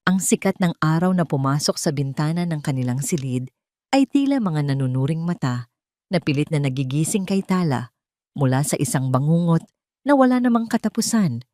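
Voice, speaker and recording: A woman's voice: low-pitched, expressive, normal speed, warm tone, no echo, clean studio sound. A woman with a Filipino accent narrating a scripted dramatic story in a solemn, melodramatic manner.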